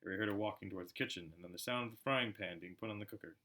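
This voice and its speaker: A man reading aloud as an amateur narrator, expressive and storybook-like, with a chatty side. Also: fairly low pitch, racing. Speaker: amateur audiobook reader.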